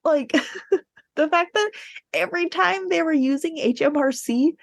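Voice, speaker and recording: A woman chatting casually as a podcast co-host, telling things in an animated, digressive way. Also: extremely high pitch, unhurried, gravelly, dry recording, clean studio sound. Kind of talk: casual book discussion chat.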